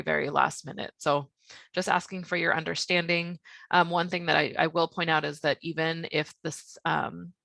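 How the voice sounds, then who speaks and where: A woman speaking as a program coordinator, explaining things informally in a measured, candid manner. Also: low voice, gravelly, pushed and bright, dry recording.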